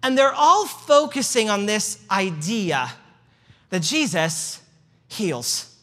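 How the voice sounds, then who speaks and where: A man's voice: sits high, lively intonation, slow, sharp tone. Midwestern man lecturing in a hall. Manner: conversational, joking asides, many fillers. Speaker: church history speaker. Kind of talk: lecture with slides. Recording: hall reverb.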